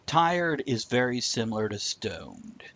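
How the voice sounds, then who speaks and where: A man's voice: mid-range voice, big pitch swings, a little slow, fairly bright tone. A man hosting a live show in casual, irreverent chat, swearing freely as he goes. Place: room, live stream. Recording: muffled, with clear background noise.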